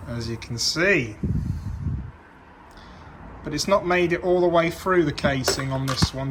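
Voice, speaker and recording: A man's voice: a little high, background noise. A British man giving a casual, unscripted show-and-tell, thinking aloud and correcting himself in a hesitant way. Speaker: vintage audio collector presenting a machine.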